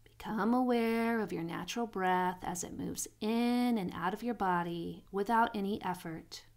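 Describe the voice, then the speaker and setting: A woman guiding a sleep meditation in a soothing, unhurried manner, pausing between phrases, with soft, breathy phrase endings. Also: medium pitch. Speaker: meditation guide.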